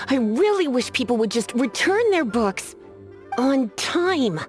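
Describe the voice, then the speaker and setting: A woman's voice: a little high, sing-song, slow. A woman voicing a languid, teasing female librarian-sorceress in a video game, drawling her lines and sighing wearily. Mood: weary. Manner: drawling, sultry, weary sighs. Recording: game music underneath.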